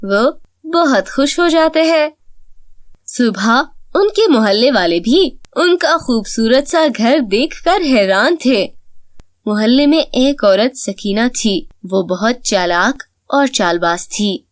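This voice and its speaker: A woman's voice: a little high, lively intonation, slow pace, no echo. A woman reading scripted narration for a children's cartoon story.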